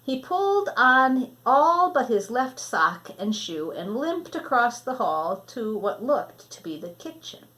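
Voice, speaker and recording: A woman reading a children's story aloud expressively, with an animated delivery, as if to listeners in front of her. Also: fairly high pitch, low-quality recording. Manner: animated, addresses listeners, pausing to show pictures. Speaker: storybook reader.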